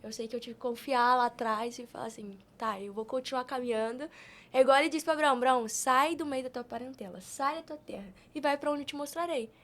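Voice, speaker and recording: A woman's voice: sits high, fast talker, fairly bright tone. A Brazilian woman talking conversationally as a podcast guest, with an earnest, emphatic, colloquial manner about her. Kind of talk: conversational faith-based motivational talk.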